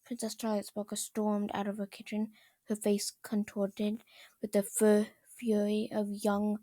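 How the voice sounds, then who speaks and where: A woman narrating a story as an amateur reader, halting and often stumbling over words. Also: medium pitch, completely flat delivery, dark tone, no echo. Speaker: fan fiction reader.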